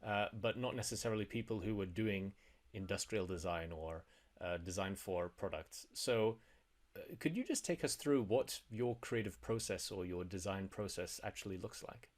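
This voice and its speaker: A man's voice: on the low side, moderate pace. A man hosting a podcast, asking his guest conversational, probing questions. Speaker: podcast host. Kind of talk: podcast interview and self-promotion.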